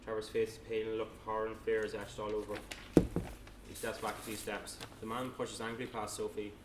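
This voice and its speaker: A man narrates a screenplay at a table read in a plain, matter-of-fact way. His voice is lowish and very even in pitch. It is picked up from far off in a noisy, echoey room. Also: fast talker. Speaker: narrator reading stage directions at a table read. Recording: echoey room, distant mic, noisy background.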